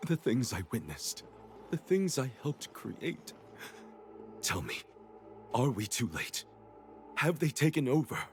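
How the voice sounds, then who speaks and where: Haunted male prisoner-engineer. Deep, anguished, halting and dramatic, burdened by guilt, game dialogue. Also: exaggerated voice. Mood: haunted, horrified.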